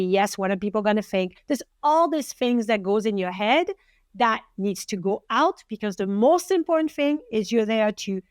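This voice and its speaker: A woman with a French accent hosting a podcast interview, talking in an animated, encouraging way with strong emphasis. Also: fairly high pitch, dry recording, studio quality. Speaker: podcast host.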